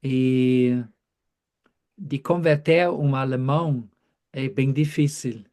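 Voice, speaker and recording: Man in his fifties, priest, unhurried and halting, middle pitch. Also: darkish tone. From Germany. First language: German. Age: 50s. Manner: halting, pauses to be interpreted. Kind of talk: talk given through an interpreter.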